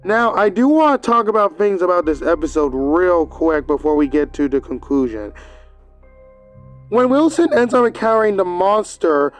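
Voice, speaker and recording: A man with a notably high voice talks through a review in a loose, rambling way. He stumbles and restarts now and then as he recaps. Faint music plays quietly underneath. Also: expressive, moderate pace. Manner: rambling, hesitant recap with fillers. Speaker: video reviewer. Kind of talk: casual video commentary.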